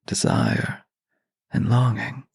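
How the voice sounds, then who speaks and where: A man's voice: mid-range voice, big pitch swings, slow, vocal fry, husky, dry recording, studio quality. A man who works as a voice actor, hosting a scripted, intimate audio series with a theatrical, playful and flirtatious manner. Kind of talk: scripted, intimate introduction to listeners.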